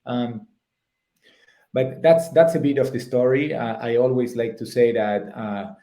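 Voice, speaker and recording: A man's voice: medium pitch, steady tone, unhurried, warm tone. A middle-aged man from Argentina speaks with an Argentine accent in his mid-range voice, giving a webinar talk over a video call. He hesitates often and restarts his phrases, and the room around him rings with echo. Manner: hesitant, many fillers and restarts. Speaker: guest speaker, psychologist and company founder. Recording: echoey room sound.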